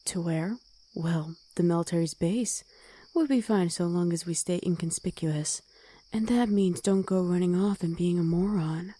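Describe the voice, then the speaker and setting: Wolf girl played by a woman: guarded, commanding, dark mellow tone, husky edge, ASMR roleplay. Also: lowish voice, moderate pace, no echo, close to an everyday voice. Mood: gruffly caring. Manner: blunt, commanding, gruffly teasing. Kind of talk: ASMR roleplay audio drama.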